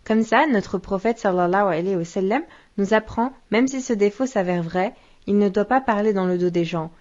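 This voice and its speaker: Actress as female religious teacher, solemn, patient, didactic, medium pitch, cartoon lesson, muffled sound. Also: normal speed, darkish tone, lightly acted. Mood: solemn, instructive.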